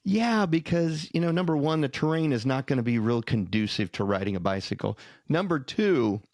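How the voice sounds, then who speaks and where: A man's voice: medium pitch, animated, normal speed, a little mellow, dry recording, clean studio sound. A man co-hosting a podcast in casual chat, with a folksy storytelling manner. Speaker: podcast co-host.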